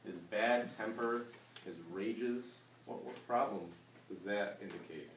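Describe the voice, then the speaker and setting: A man's voice: on the low side, a little slow, a bit bright. A man teaching a class, reading a story aloud and putting questions to his pupils. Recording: roomy distant mic with background noise.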